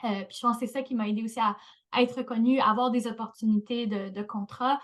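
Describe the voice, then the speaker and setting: A woman's voice: on the high side, fairly even intonation, fast talker. A woman speaking as a professor on a panel, hesitant with many fillers. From Canada. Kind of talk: panel discussion.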